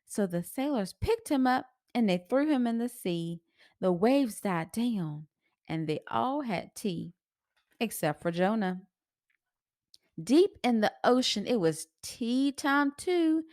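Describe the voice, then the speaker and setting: A woman reading a children's story aloud in an expressive, sing-song rhyming delivery. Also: mid-range voice, takes it slowly, dry recording, clean studio sound.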